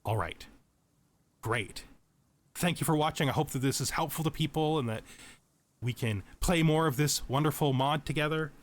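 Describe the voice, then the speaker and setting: A man's voice: on the high side, normal speed, pauses often. A man giving unscripted tutorial commentary over a video game, explaining things step by step and often repeating or rephrasing as he goes. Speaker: tutorial let's play host.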